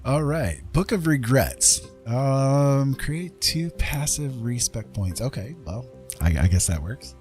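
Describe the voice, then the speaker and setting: A man's voice: medium pitch, lively intonation, takes it slowly, a little mellow. A man hosting a casual game livestream, rambling and thinking aloud as he chats with viewers. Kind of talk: casual livestream chat while playing. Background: game music.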